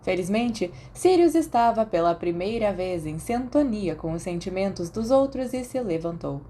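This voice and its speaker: A woman's voice: medium pitch, animated, moderate pace, dark tone, slight background noise. A woman with a Brazilian accent reading scripted fiction as an audiobook narrator.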